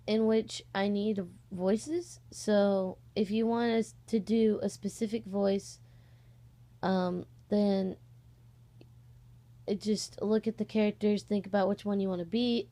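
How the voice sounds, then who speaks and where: Creaky-voiced teenage girl rambling casually. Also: mid-range voice, dark tone. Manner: informal, hesitant and rambling, frequent "like" asides. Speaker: amateur voice-acting channel creator. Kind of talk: casual rambling vlog announcement. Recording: cheap, slightly distorted microphone.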